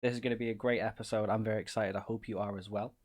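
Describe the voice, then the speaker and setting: A man's voice: on the low side, fairly even intonation, racing, a little mellow. Upbeat podcast host, a man. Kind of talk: casual interview talk.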